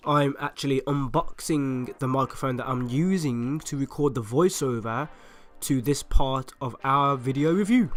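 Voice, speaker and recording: Guy, raspy, hoarse from flu, chatty and upbeat, mid-range voice, desktop usb mic at home, faint music behind. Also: medium pitch, fairly bright tone. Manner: chatty, upbeat, direct to viewers. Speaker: YouTube channel host. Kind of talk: casual product review and unboxing. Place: at home, indoors. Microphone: USB desktop microphone.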